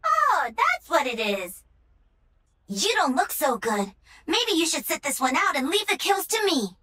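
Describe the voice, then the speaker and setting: A high, bright and very lively young woman, cocky and playful like a star gamer in a video game. She teases and brags with cheek. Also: unhurried, full character voice. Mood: teasing. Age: young adult. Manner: cheeky, bragging, teasing.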